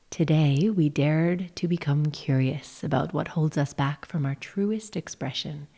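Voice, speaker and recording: A woman guiding a meditation session in a gentle, soothing, measured manner, her phrases often trailing off into breathy whispers. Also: deep voice. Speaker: yoga nidra guide, session host. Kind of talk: guided meditation narration.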